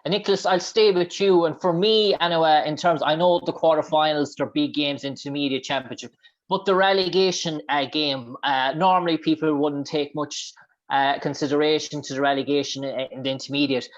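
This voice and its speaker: A man with an Irish accent presenting a radio sports show, asking long questions full of restarts and fillers. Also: fairly high pitch. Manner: halting, many restarts and fillers. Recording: dull lo-fi sound.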